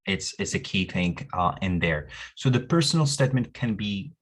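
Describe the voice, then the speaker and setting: A man, speaking in a webinar over a video call. He is earnest and encouraging, often pausing on "uh" and restarting phrases as he works through his points. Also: low voice, animated. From Morocco. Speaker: university admissions representative. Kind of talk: webinar presentation.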